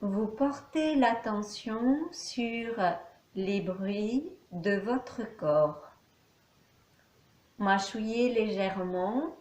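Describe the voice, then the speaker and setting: A woman teaching yoga nidra, guiding a meditation calmly in short, measured phrases with pauses between them. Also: medium pitch. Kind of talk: guided meditation, calm instruction. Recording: echoey, reverberant room.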